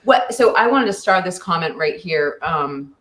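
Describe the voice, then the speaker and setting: Lower-voiced woman host, echoey room. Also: animated, normal speed, a bit bright. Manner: enthusiastic, joking. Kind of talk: casual chat.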